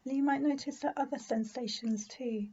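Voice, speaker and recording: A woman leading a guided meditation in a gentle, soothing, unhurried manner with pauses. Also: sits high, fairly even intonation, husky, highs cut off. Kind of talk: guided body scan meditation.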